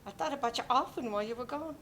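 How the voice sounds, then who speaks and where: A woman playing a warm, fussy, motherly older neighbour in a dramatic play reading, doting and fretful as she chats. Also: high voice, racing. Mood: concerned.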